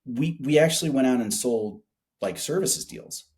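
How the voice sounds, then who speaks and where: A man's voice: medium pitch, lively intonation, normal speed, darkish tone. A man being interviewed as a startup founder, chatting casually with colloquial, salty, emphatic storytelling. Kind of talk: casual business interview chat.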